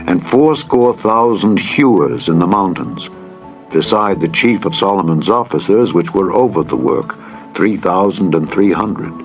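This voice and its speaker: Low-voiced man solemnly narrating scripture over music. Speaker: narrator, Bible reader. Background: background music.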